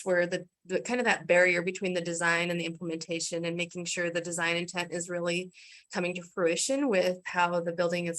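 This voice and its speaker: A woman working as an engineer, introducing herself on a panel in a relaxed, conversational way over a video call. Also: on the low side. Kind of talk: casual self-introduction.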